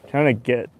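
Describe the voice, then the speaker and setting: A man in his forties who grew up in Taiwan and Australia, hosting a casual fishing livestream from a boat on a lake in a relaxed, chatty way. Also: slight background noise. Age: 40s. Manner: relaxed and chatty, with bursts of excitement when a fish bites. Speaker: fishing show host.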